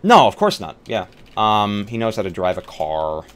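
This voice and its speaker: A man's voice: lowish voice, big pitch swings, moderate pace. A man chatting casually on a tabletop roleplay livestream, thinking aloud and bantering with some profanity. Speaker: tabletop roleplay streamer and player. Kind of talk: casual livestream chat while building a game character. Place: live online game stream. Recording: clear background noise.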